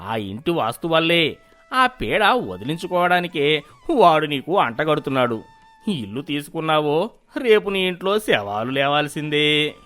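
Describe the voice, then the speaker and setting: A man's voice: on the high side, very animated, fairly bright tone. Man acting a sly, theatrical village schemer. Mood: ominous. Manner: theatrical, ominous, drawn-out emphasis. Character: sly, jealous village schemer man, mock-alarmed fearmonger. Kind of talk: Telugu moral story cartoon acting.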